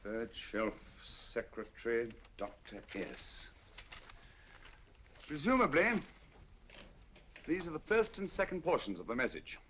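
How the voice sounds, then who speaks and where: An actor playing a sharp, commanding detective in a 1940s film, speaking with crisp precision. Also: male voice, medium pitch, very animated, takes it slowly, long pauses, a little hiss or hum, full character voice. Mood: keen. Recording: dull lo-fi old film soundtrack.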